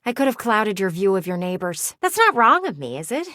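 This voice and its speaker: A woman's voice: mid-range voice, sing-song, brisk, pushed and bright, no room sound, clean studio sound. A woman voicing a talking house window in a video game, a nosy, sassy female gossip who tells stories in a theatrical, conspiratorial way with teasing asides. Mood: wounded, defensive.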